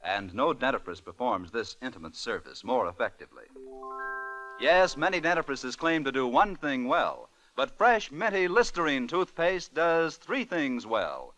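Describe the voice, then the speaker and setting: A man's voice: mid-range voice, pushed and bright. A man reading a 1940s radio commercial as an announcer, in an emphatic, formal sales style. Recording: old 1940s radio recording with music.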